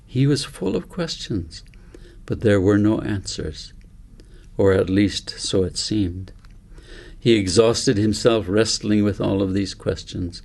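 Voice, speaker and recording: A man's voice: lowish voice, gravelly, a little mellow. A man narrating a story as an author reading his own book aloud.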